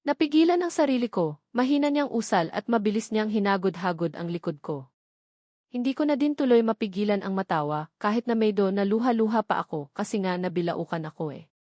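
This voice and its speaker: Female audiobook narrator for a first-person romance novel, mid-low pitch, very dry sound. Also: expressive, brisk, darkish tone, no room sound.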